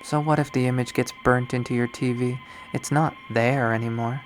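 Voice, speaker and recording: A man reading a visual novel aloud, voicing an obsessive, lovesick young woman who has turned killer, in a slow, hushed, brooding delivery. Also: mid-range voice, steady tone, a little hiss or hum, close to an everyday voice. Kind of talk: dramatic first-person readthrough.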